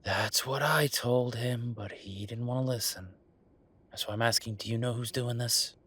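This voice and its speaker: A man's voice: medium pitch, steady tone, moderate pace, a bit bright, slightly rough. A man playing a wry, affectionate werewolf bureaucrat in an intimate roleplay audio drama, talking in a casual, teasing, conversational way. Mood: wry, concerned.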